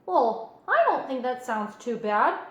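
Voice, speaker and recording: A woman reading a children's picture book aloud in an expressive, emphatic way, stretching out key words. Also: on the high side, takes it slowly. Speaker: storybook reader.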